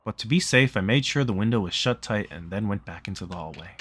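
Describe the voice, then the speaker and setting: Low-voiced man narrating a visual novel. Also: animated, fast, fairly bright tone, husky. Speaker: streamer reading a game aloud. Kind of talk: casual read-aloud narration of a visual novel.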